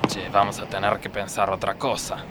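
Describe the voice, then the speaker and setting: A man's voice: on the low side, completely flat delivery, moderate pace, very noisy, close to an everyday voice. A man with an Argentine accent playing an agreeable, literal-minded factory worker in a comedy radio sketch. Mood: plotting.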